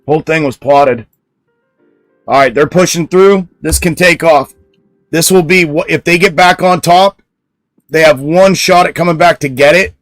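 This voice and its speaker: A man with a fairly high voice hosting a live trading stream. He talks loudly and bluntly and answers his viewers' comments straight back. The sound is dry and close, with faint music behind him. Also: moderate pace, dry recording, poor audio. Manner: loud speaking voice. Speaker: stock trading livestream host. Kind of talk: casual livestream commentary.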